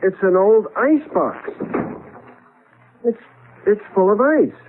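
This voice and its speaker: An actor with an American accent playing a wry, easily flustered lawyer husband in a 1970s radio drama. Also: male voice, high-pitched, expressive, slow, narrow, tinny audio. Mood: flustered, indignant. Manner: wry, exasperated, stammers when alarmed. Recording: band-limited old radio broadcast.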